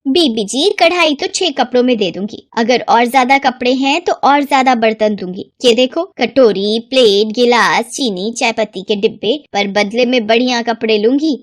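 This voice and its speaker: A woman voicing a wily female street hawker with a coaxing, drawn-out sales manner in a cartoon story. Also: on the high side, no echo, clean studio sound, lightly acted. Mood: bargaining. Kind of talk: Hindi moral story cartoon acting.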